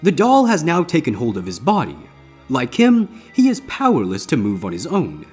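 Guy playing a creepy showman magician pony, swoops all over, kinda raspy, storybook style with music behind. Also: a little high, wildly swooping pitch, darkish tone, voice pushed up, heavily acted. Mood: commanding, eerie. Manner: showman-like, deliberate patter. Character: theatrical male pony stage magician, smooth and ominous. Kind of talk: character voice in audiobook-style fan fiction reading.

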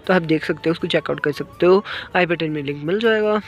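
A man's voice: fairly high pitch, a little fast. A man, casually narrating a walking travel vlog into his phone. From Himachal Pradesh, India. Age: 20s. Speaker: vlogger. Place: outdoors on hill walkways, some indoors. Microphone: phone at arm's length. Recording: music laid loudly over speech. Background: loud music.

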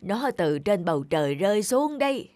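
A woman's voice: mid-range voice, big pitch swings, normal speed, a little mellow, no echo, studio quality, natural voice. A woman voicing an honest, simple old male farmer in a cartoon folktale, earnest and kindly. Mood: grateful, content. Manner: earnest, good-natured. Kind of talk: cartoon folktale character acting.

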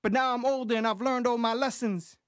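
A man's voice: very high voice, fairly even intonation, fairly quick, fairly bright tone, no room sound. A man in his thirties, a native Spanish speaker, freestyle rapping in a small recording booth on a studio condenser mic, with rhythmic chanting and percussive vocal beats. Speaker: rapper. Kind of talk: freestyle rap performance mixing English and Spanish. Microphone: studio condenser mic with headphones.

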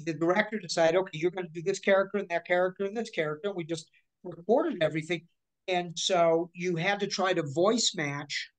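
A woman in her forties, speaking over a video call from her living room. She tells her stories in a lively, chatty way, breaking off and restarting mid-sentence. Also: on the low side, rough recording. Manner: chatty, animated, with many restarts and hand gestures. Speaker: guest voice actor. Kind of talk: casual interview storytelling.

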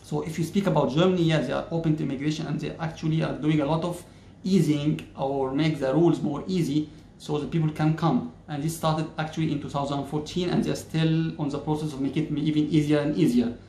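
A man talks straight to the camera, slow and measured, with frequent "uh" pauses. His voice sits a little high for a man. The plain room around him rings with echo, and a faint hum sits underneath. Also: fairly even intonation, mellow. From Egypt. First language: Arabic. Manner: measured, many "uh" pauses, gestures with hands. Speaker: YouTuber. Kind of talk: casual explainer talking to camera. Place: plain-walled indoor room.

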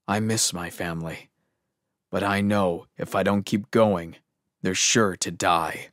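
Man acting a weary fantasy adventurer, slow and low. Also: fairly even intonation, fairly bright tone, dry recording, clean studio sound. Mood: somber, fearful. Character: weary, worried young male fantasy adventurer on a quest. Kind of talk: dramatic fantasy character monologue.

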